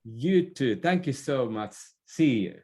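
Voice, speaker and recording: A middle-aged man speaks as an interview guest. He offers advice in a conversational way, hesitating and doubling back over words as he builds each thought. His pitch sits in an ordinary middle range for a man. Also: animated, slow, warm tone. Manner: hesitant, repeating words as he goes. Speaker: podcast guest, business expert.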